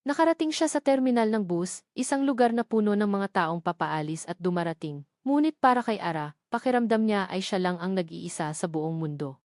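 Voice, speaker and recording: A woman storyteller narrates a scripted romantic drama. Her delivery is measured and sentimental, with a solemn feel. The voice sits at an average pitch and is recorded very dry, without room sound. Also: expressive, a little mellow, dry recording.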